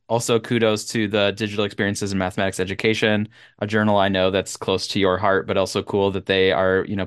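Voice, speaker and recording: Low-voiced male podcast host. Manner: friendly, relaxed, chuckles often. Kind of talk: interview conversation.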